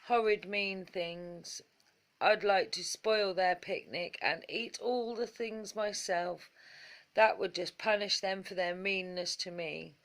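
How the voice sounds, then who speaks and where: A woman's voice: mid-range voice, takes it slowly. A woman plays a greedy little boy rabbit from a storybook. He is sly and spiteful, with a scheming, theatrical way of speaking that suits a small troublemaker. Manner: scheming, dramatic, yelling in alarm.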